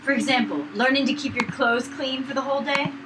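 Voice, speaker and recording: A woman's voice: high voice, brisk. A young woman co-hosting a let's play, reading game dialogue and chatting casually with a giggly, teasing manner. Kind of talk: casual chat and reading a visual novel aloud. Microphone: fallback mic after the main one failed. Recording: noisy, no proper microphone.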